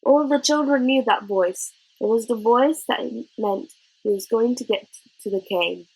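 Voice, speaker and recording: Woman narrating a kids book, expressive, voice on the high side and kinda husky. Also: unhurried, poor audio. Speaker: narrator reading a children's novel.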